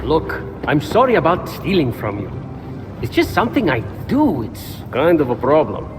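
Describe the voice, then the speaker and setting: Cheeky, high-voiced young trickster. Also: male voice, wildly swooping pitch, normal speed. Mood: glib, urgent. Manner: glib, breezy, persuasive. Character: quick-witted, cheeky, unapologetic young male trickster thief. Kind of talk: video game fantasy acting. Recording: reverberant sound, music behind.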